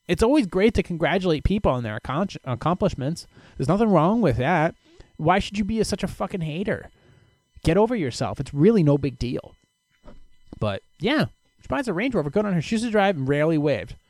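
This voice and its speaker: A man hosting a casual solo podcast, giving blunt, animated advice on listener questions, with frequent restarts and swearing. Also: fairly high pitch, no room sound.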